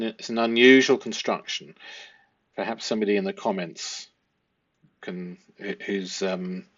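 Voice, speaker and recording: A man explaining a transcript analysis as a host, speaking deliberately and posing rhetorical questions. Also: fairly low pitch, no room sound, lo-fi sound. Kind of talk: explanatory analysis of a transcript, part read aloud.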